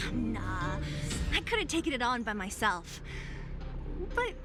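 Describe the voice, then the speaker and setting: A brash tough young brawler. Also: female voice, fairly high pitch, big pitch swings, takes it slowly, loud music behind the voice. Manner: blunt, teasing, streetwise. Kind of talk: game cutscene dialogue. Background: game score.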